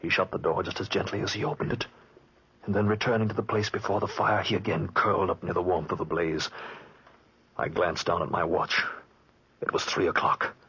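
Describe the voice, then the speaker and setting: An actor playing an exhausted, frightened traveler telling of a terrible night, husky and haunted, in 1940s radio drama style. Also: male voice, low-pitched, fairly even intonation, a little fast, sharp tone, full character voice. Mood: haunted, tense. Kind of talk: 1940s radio acting, first-person suspense narration. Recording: muffled vintage 1940s radio recording.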